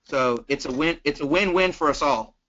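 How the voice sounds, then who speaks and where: A plain-spoken, folksy man coaching casually on sales as a guest trainer, acting out sample pitches as he goes. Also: moderate pace, fairly bright tone. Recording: dull, lo-fi conference call line.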